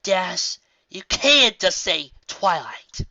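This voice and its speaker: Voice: medium pitch, very animated, very slow, pushed and bright, no echo, lo-fi sound, voice pushed up, heavily acted. A performer voicing an earnest, bossy female unicorn who gives precise, scolding instructions. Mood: stern.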